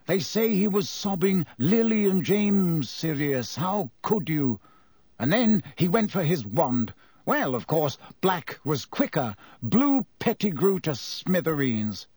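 A man's voice: on the high side, big pitch swings, takes it slowly, a little mellow, breathy edge, no room sound, clean studio sound, pitched above the performer's own voice, heavily acted. A man playing a pompous, self-important older government minister in an audiobook, grave and formal in delivery. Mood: solemn.

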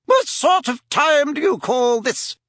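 A man's voice: very high voice, lively intonation, takes it slowly, a bit bright, no echo, clean studio sound, voice pushed up, full character voice. A man voicing a huffy, scolding woman in a portrait for a storybook reading. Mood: huffy, indignant. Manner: scolding, haughty. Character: huffy, indignant woman guarding a door from her portrait.

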